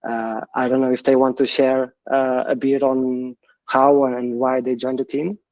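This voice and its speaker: A man's voice: mid-range voice, level tone, a little mellow, dry recording. Man on a dull, lo-fi call. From Portugal. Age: 30s. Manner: halting, repeats words. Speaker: game director. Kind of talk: interview guest.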